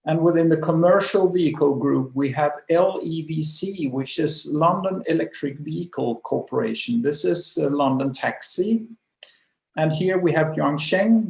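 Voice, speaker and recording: A man's voice: a little high, unhurried, gravelly, roomy sound. A middle-aged man from Denmark, a designer, presenting slides over a video call with many hesitant fillers. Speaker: guest presenter, automotive designer.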